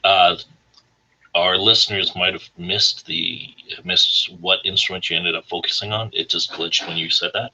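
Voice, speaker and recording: A man with a husky voice hosting a casual interview show over an online call. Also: sits low, fairly even intonation, normal speed, pushed and bright, low-quality recording. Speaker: host of a weekly music career show.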